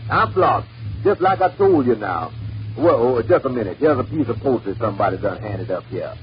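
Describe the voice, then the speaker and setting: Man acting as exasperated folksy preacher, bombastic, foul-mouthed, comic mock sermon, middle-pitched, muffled noisy old 78rpm record. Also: expressive, normal speed, natural voice. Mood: scolding. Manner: folksy, bombastic, cursing pulpit delivery. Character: exasperated foul-mouthed preacher scolding his stingy flock. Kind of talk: mock sermon comedy monologue.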